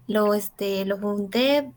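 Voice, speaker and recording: A woman's voice: mid-range voice, a little mellow. A woman, a student asking for clarification in a casual, hesitant, self-correcting way.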